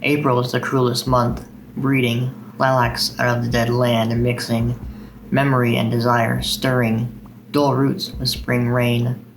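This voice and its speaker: A man's voice: mid-range voice, little pitch movement, unhurried, creaky, a little hiss or hum, low-quality recording. A man hosting a video of poetry readings. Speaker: video host reading poems.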